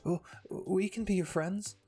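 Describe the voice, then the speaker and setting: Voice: mid-range voice, steady tone, measured pace, warm tone, breathy edge, pitched above the performer's own voice. A narrator voicing a shy, protective teenage boy in a fan fiction reading, flustered and earnest. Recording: music behind the voice.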